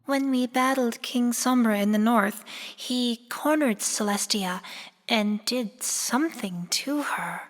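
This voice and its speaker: A woman playing an exiled pony princess in a fan radio drama, distressed, gentle and pleading, speaking haltingly. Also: a little high. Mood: grieving. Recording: long reverberant hall.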